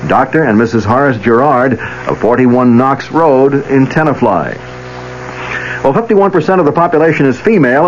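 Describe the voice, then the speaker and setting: A man reading 1970s radio news in a formal, measured announcer's delivery. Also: medium pitch. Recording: muffled, noisy vintage radio with hum.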